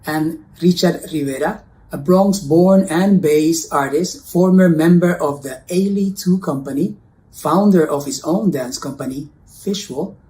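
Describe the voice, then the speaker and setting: Mellow-voiced man hosting an arts show. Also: on the high side, rough recording. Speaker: host. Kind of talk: scripted introduction.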